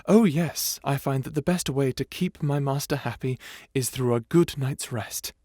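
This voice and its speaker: A man's voice: mid-range voice, normal speed, mellow, no echo, clean studio sound, lightly acted. A man voicing a shy, polite dragon butler in an ASMR roleplay, hesitant and deferential, with formal speech. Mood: pleased. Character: shy polite old dragon butler, male, eager servant.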